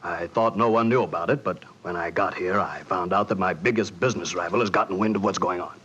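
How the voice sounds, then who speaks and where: Casting: shady American tycoon, rapid-fire and blunt, low husky voice, muffled vintage radio sound. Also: male voice, fairly bright tone. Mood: pushy, confident. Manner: blunt, fast-talking. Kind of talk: 1940s radio acting. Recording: dull 1940s radio recording.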